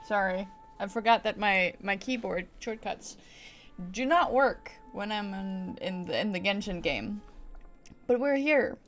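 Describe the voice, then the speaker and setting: Giggly woman chatting on stream, unhurried. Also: medium pitch, lively intonation, faint music behind. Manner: giggly, playful, rambling. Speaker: video game livestreamer. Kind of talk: casual livestream chat with viewers while gaming.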